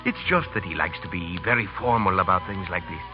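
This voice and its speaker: An actor playing a polite, earnest, devoted young Spanish suitor in a 1930s radio drama. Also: male voice, low-pitched, fast talker, lightly acted. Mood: reassuring. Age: young adult. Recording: muffled 1930s radio recording with music.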